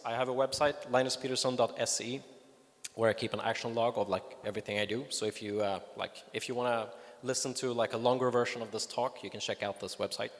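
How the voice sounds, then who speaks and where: A man's voice: medium pitch, fairly bright tone. A man with a Swedish accent, a native Swedish speaker, giving a conference talk with enthusiasm and lots of fillers and restarts. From Sweden. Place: conference hall. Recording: reverberant hall.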